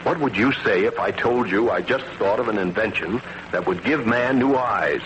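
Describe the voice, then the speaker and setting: An actor playing a curious, earnest Navy radio scientist who also narrates, musing and a little absent-minded, in a 1940s radio drama. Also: male voice, on the low side, moderate pace, dull audio, noisy background, natural voice. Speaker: narrator and lead character. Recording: old radio broadcast with loud music underneath.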